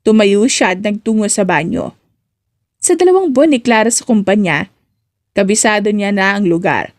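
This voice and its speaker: A woman's voice: mid-range voice, expressive, moderate pace. Woman narrating a romance audiobook.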